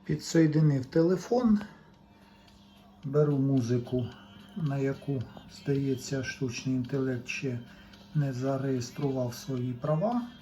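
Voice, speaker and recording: A man's voice: mid-range voice, slow, dark tone, faint music behind. A man giving an unscripted, matter-of-fact technical demonstration, talking in short fragments as he works. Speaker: hobbyist repair technician presenting a demonstration.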